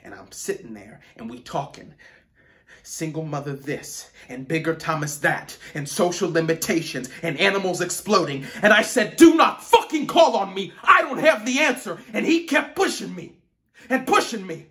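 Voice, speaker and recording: Guy acting an angry hurt teen boy, dramatic monologue, voice a bit high, super emotional and intense. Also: expressive, a little slow, long pauses. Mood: explosive anger. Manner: intense, emotional, swinging from hushed to explosive.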